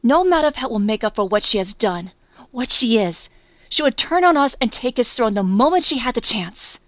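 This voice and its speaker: A defiant teenage superhero girl, played by a woman, with a fairly high voice and a dry, close recording. She is angry, blunt and confrontational, in audio drama style. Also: expressive, normal speed, no echo, natural voice.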